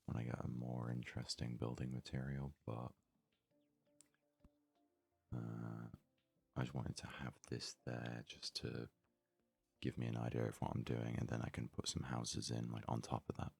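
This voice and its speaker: A man giving soft-spoken ASMR game commentary, murmuring his thoughts aloud in a breathy voice that often slips into a whisper. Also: a bass voice, monotone, soft background music. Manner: murmured, unhurried thinking aloud. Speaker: let's play host.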